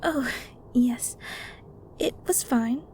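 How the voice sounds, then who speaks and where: A woman's voice: a little high, drawn-out words, mellow, a little hiss or hum. A woman playing a meek, nervous young adult daughter in an audio roleplay, hesitant, obedient and defensive. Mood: flustered, evasive.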